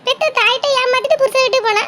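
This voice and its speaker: A woman playing an outspoken, excitable village woman in a drama, exclaiming loudly with a southern Tamil Nadu accent. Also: very high-pitched, brisk, noisy background. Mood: shocked, scolding. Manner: loud, exclaiming, scolding and questioning.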